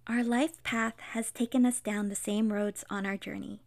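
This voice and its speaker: A woman in her thirties, born in the Philippines and raised in Los Angeles, reading a scripted podcast introduction as co-host in an earnest, measured way. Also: mid-range voice, steady tone, vocal fry, darkish tone. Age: 30s.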